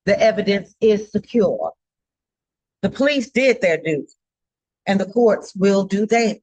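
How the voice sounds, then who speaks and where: A woman delivering a formal scripted public address in a stern, solemn, emphatic manner, with halting phrasing. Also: mid-range voice, lively intonation, darkish tone, no echo, rough recording. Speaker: judge giving a public address.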